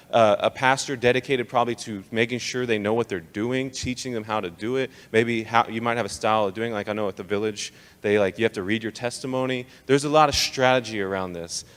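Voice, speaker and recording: A man's voice: medium pitch, fairly bright tone. A young adult American man addresses church leaders in a live talk, his mid-range voice ringing with long reverb in a hall. He is informal and slangy, leaning hard on key words and putting rhetorical questions to the room in a conversational way. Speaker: speaker leading a church leadership training session.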